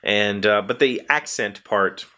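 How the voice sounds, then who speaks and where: Male host, forties, American, lowish voice. Casual, rambling, self-deprecating chat straight to viewers. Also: measured pace, sharp tone. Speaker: host of a daily video show. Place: small indoor workshop crowded with tools.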